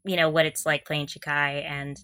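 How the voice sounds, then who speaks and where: A woman's voice: sits low, flat delivery, moderate pace, bright, forceful tone, no room sound, studio quality. A young woman co-hosting a casual fan podcast, talking in a giggly, gushing way. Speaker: podcast co-host.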